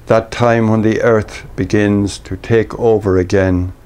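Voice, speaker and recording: An Irish man reading his own poetry in a measured, deliberate way, pausing between short phrases. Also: lowish voice, steady tone, darkish tone, clean tone. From Ireland. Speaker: poet reading own work.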